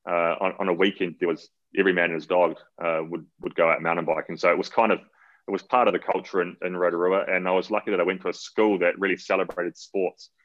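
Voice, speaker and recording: A very low-voiced man with a New Zealand accent talks easily and at length as an interview guest. He is relaxed and loose, often doubling his words. The audio is dull and lo-fi. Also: quick pace. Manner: relaxed, rambling, repeats words. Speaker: guest, cyclist. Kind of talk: casual interview talk.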